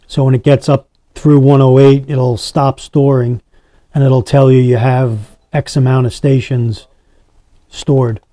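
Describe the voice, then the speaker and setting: A man's voice: mid-range voice, flat delivery, a little slow, warm tone, no echo. A man giving a casual hands-on product demonstration as a reviewer, talking to his viewers. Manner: addresses viewers as guys.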